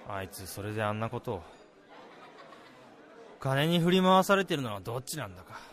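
A man acting a gruff but caring senior salesman in a radio drama, speaking bluntly and casually. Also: medium pitch, big pitch swings, brisk, fairly bright tone, a faint crowd. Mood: firm, impassioned. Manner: blunt, casual, firmly advising. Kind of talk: Japanese radio drama dialogue and narration.

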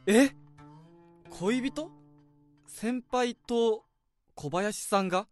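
A man's voice: sits high, faint music behind. A man playing an eager young junior employee who is easily startled, in a radio drama. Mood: flustered. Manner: quick, bouncy, easily surprised.

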